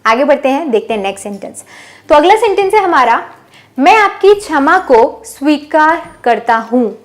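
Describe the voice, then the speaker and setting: A woman with a high voice teaches English phrases. She explains each one in a patient, steady way, then says the example sentence slowly and deliberately so learners can repeat it. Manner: slow, drawn-out model sentences for learners. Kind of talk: bilingual language lesson.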